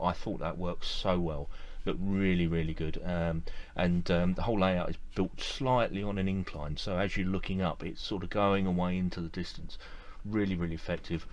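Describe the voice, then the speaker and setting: A middle-aged man with a deep, low voice talks straight to the camera from an indoor room lined with display shelves. He rambles in an easygoing, enthusiastic way, full of fillers and repeated words. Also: muffled recording. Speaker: model railway collector vlogger. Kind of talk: casual to-camera review.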